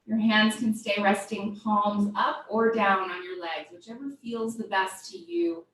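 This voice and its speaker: A woman teaching yoga, guiding a body scan with gentle, invitational step-by-step cues. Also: medium pitch, measured pace. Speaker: yoga instructor. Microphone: distant microphone. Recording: very echoey room, distant mic, faint noise.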